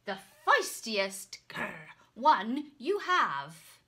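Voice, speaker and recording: Woman playing a booming, blustering fearless knight. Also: sing-song, very slow, bright, forceful tone, full character voice. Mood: commanding. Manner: declamatory, emphatic.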